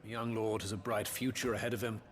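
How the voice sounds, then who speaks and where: An actor playing a hearty soldier reveller in a video game drama, loud and projecting. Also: male voice, lowish voice, flat delivery, quick pace, lightly acted. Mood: admiring. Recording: loud crowd din, voice far off.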